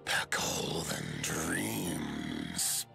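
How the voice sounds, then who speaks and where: A man playing a solemn giant elder in a video game, with halting, weighty, formal speech and a gravelly voice. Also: wildly swooping pitch, pushed and bright, faint music behind, exaggerated voice. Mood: solemn, reverent. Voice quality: very deep, gravelly. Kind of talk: video game cutscene acting.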